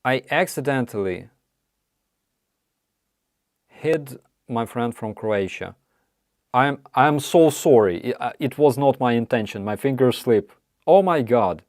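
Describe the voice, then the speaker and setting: A man with a Ukrainian accent hosting a casual live chat, answering viewer questions in halting, apologetic English with many restarts. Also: medium pitch, lively intonation, darkish tone, no echo, clean studio sound. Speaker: livestream host.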